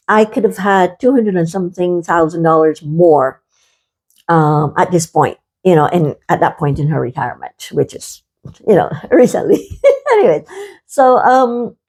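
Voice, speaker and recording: A woman's voice: on the low side, a little mellow. An older woman from Barbados talking in a rambling, earnest vlog monologue, full of fillers and pleading asides. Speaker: vlogger.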